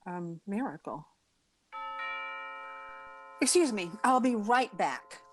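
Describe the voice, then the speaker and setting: An actress playing a wry, flustered schoolteacher woman in an old-time radio comedy, halting and theatrical. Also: medium pitch, expressive, over music. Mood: bewildered, insistent.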